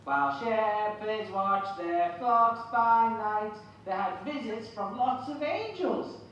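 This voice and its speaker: Woman voicing an eager sheep puppet, bright and slow. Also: on the high side, lively intonation, muffled recording, heavily acted. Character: eager, friendly sheep puppet who followed the shepherds. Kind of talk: scripted puppet-show dialogue. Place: church hall, puppet stage, far camera. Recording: very echoey church, distant noisy mic.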